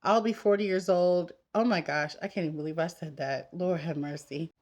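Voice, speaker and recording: A woman's voice: on the low side, moderate pace, darkish tone. A woman in her thirties chatting casually and candidly to her viewers, as in a get-ready-with-me vlog. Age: 30s. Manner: candid, conversational, talking straight to women viewers. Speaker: vlogger. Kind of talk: casual confessional chat while getting ready.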